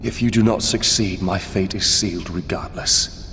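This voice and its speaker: Solemn weary game fugitive, lowish pitch, long reverb, fatalistic warnings. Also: male voice, fairly even intonation, normal speed, bright, forceful tone. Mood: grave, resigned. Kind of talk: video game dramatic acting. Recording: long cavernous reverb, faint rumbling noise.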